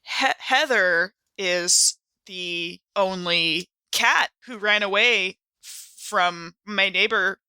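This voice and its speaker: A woman's voice: mid-range voice, slow pace, hardly any pauses, pushed and bright, clear, resonant tone, no room sound, low-quality recording. A woman playing a tabletop role-playing game, speaking casually as she improvises her character's words and actions.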